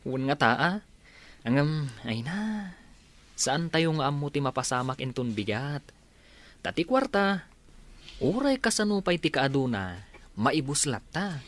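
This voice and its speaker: A concerned young Filipino village husband, voiced by a woman in a radio drama. The voice is fairly low and very animated, with a gossipy, expressive delivery. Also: a little slow, pauses often. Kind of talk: Ilocano radio drama acting.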